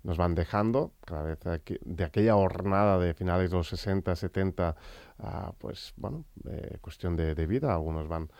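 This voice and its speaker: Male interviewer, very low voice, measured and searching, dry close sound. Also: darkish tone, no room sound. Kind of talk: interview questions.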